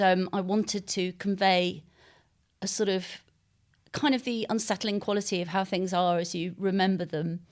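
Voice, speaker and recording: A mature woman being interviewed as a novelist, speaking reflectively and articulately, with hesitant pauses and softened phrasing. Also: on the low side. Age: middle-aged or older.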